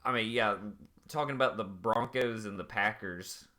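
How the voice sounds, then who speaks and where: A man's voice: fairly low pitch, sharp tone. A young man co-hosting a sports podcast, bantering casually with lots of "like" and "uh". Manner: quick banter, frequent "like" and "uh". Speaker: podcast co-host.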